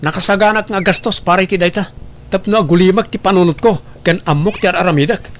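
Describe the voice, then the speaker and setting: An actor playing a suspicious, stern husband in an audio drama, speaking in a firm, accusing manner. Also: male voice, sits high, fast, close to an everyday voice. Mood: cold, accusing. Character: suspicious, cold, stern husband rejecting his family. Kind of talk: Ilocano audio drama acting.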